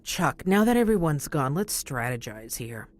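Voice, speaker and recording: An actress playing a cool, scheming agency boss in an audio comedy, with a dry, sarcastic, controlled delivery. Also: female voice, low-pitched, very animated, moderate pace, background noise.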